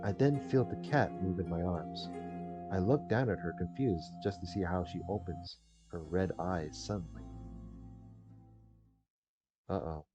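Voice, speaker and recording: Deep voiced guy doing a visual novel lets play, reads and rambles, muffled mic w music going. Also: measured pace, long pauses. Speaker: gaming video host. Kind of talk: let's play, reading visual novel text aloud with casual commentary. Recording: dull lo-fi mic under loud music. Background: game music.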